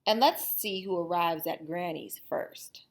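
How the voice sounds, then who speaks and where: A woman's voice: fairly low pitch, measured pace, gravelly. A woman voicing a hungry, scheming wolf who tells his own storybook tale in a sly, wry way. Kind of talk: storybook read-aloud.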